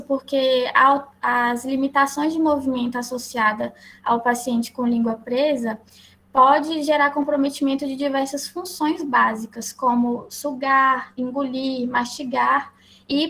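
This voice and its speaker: A woman with a Brazilian accent presenting slides as a lecturer, explaining in a halting way. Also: sits high, fairly bright tone. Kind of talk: slide presentation to health professionals. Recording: clear background noise.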